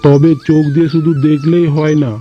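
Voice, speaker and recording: A man's voice: a little high, level tone, fairly quick, natural voice. A man voicing an elderly, moralizing father-in-law in a cartoon moral story. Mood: solemn. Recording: dull lo-fi audio, faint music behind.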